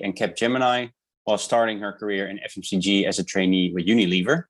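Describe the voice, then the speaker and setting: A man with a fairly low voice hosts an interview show. He reads a formal, prepared introduction of his guest. Then he moves on to plain interview questions. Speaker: podcast host.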